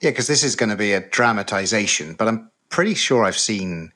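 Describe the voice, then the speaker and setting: A man's voice: fairly low pitch, expressive, brisk, pushed and bright. A middle-aged man from the UK, co-hosting a podcast in casual chat, hesitant with many fillers. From United Kingdom. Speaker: podcast co-host.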